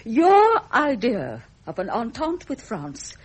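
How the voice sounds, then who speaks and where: A stern, regal older queen in a vintage radio drama. Her upper-class British speech is formal and dismissive, with lively swoops in pitch and a slightly husky, unclear edge. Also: takes it slowly, exaggerated voice. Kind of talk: period radio drama acting.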